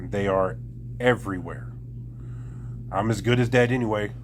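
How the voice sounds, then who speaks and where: A wounded crime boss in his forties, played by a man running a tabletop game, speaks low and slow. He is grave and resigned to his doom, recorded with faint room noise around him. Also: lightly acted. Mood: grim, resigned. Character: defeated, wounded mid-forties male crime family head.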